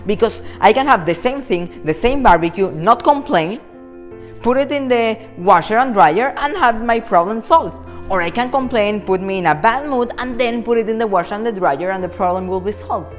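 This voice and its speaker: A man in his twenties with a Venezuelan accent, a motivational speaker talking to camera in a playful, animated way and acting out imagined dialogue. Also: high voice, moderate pace, faint music behind. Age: 20s. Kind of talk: talk to camera.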